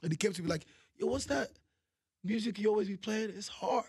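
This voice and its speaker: Guest musician from Nigeria, man in his thirties, husky, high, animated storyteller. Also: fairly quick, dry recording, studio quality. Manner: animated storytelling, keeps saying "you know what I'm saying". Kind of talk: casual interview chat.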